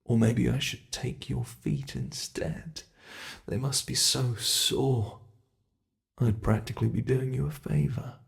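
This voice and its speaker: A man's voice: mid-range voice, slow, vocal fry. A man voicing an ancient male monster in an ASMR roleplay, a cruel and playful predator who taunts his prey and drops into close whispers. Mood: menacing whisper.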